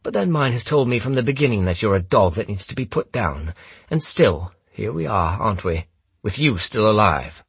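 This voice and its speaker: A man's voice: on the low side, sing-song, normal speed, creaky, a bit bright, husky, no echo, voice pushed up, full character voice. A man voicing a smug, menacing male villain for an audiobook, with a commanding, sneering delivery. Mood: bitter sneering. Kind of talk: audiobook character dialogue.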